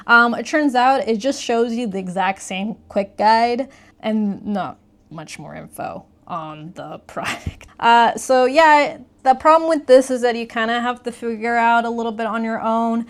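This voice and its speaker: A woman's voice: on the high side, a little slow. A woman giving a casual, conversational product review, explaining things as she goes.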